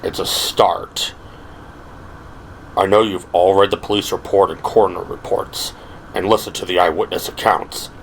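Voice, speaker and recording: A man voicing a cool, unreadable squad captain in an audio drama, speaking in a hushed, clipped, deliberate way. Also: sits low, fairly even intonation, a little hiss or hum, poor audio. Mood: measured.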